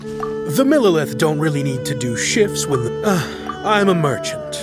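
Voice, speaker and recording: A man's voice: on the high side, sing-song, a little slow, loud music behind the voice. An actor playing a deferential, chatty and slightly pompous male merchant in a video game. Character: well-to-do male merchant, deferential devout believer. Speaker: non-player character merchant.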